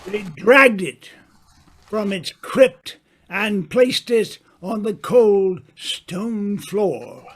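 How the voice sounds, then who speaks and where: A man playing a grave mystery narrator in a radio drama, deliberate and full of dramatic pauses. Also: high voice, fairly bright tone, exaggerated voice. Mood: ominous, suspenseful. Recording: faint background noise.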